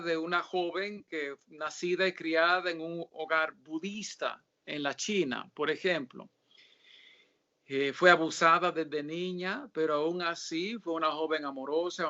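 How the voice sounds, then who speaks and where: A man with a bright, sharp voice answers questions in a casual religious interview. He speaks haltingly and pads his thoughts with filler sounds and pauses. The audio is dull and lo-fi. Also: a little high. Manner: reads scripture aloud in a steadier tone. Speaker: guest author answering questions.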